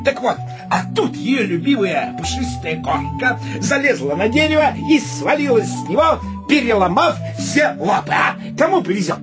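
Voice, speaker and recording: An actor playing a fussy, aggrieved gentleman in a comic radio play, pompous and declamatory. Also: very high-pitched, sing-song, moderate pace, few pauses, music in the background. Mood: relishing, storytelling.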